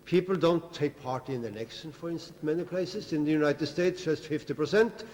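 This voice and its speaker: A male panelist with a somewhat high voice lays out his reasoning step by step. He sounds argumentative but considered. The long hall reverb gives it the feel of an old festival debate. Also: normal speed, darkish tone. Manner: argumentative, builds a reasoned case.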